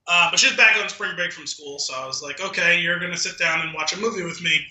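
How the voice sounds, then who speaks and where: A man co-hosting a casual podcast, telling rambling anecdotes in an animated, sardonic way and acting out both sides of conversations. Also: on the high side, fast. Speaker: podcast co-host. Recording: roomy, echoey sound.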